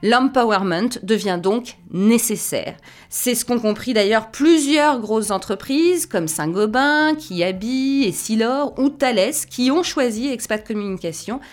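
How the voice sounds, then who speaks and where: A woman's voice: on the high side, animated, a little slow, soft background music. A woman hosting a radio interview, asking probing questions and narrating a short report. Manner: engaged, asks probing questions. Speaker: radio host.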